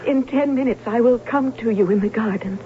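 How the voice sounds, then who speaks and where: A woman's voice: fairly high pitch, a little fast, close to an everyday voice. An actress playing a lovestruck, coolly teasing young noblewoman in the style of 1970s radio drama. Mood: resolved. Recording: thin, phone-like, noisy vintage radio recording.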